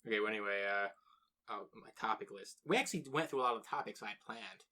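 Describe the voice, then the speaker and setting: A man's voice: on the low side, fast talker, bright, forceful tone. A young man from the United States, co-hosting a casual podcast chat, rambling with restarts and plenty of swearing. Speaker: podcast co-host.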